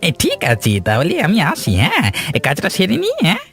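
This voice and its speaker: A man's voice: sits high, huge pitch swings, brisk, heavily acted. A man voicing an eager, deferential assistant to a village headman in a cartoon, over music. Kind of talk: cartoon voice acting. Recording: music behind the voice.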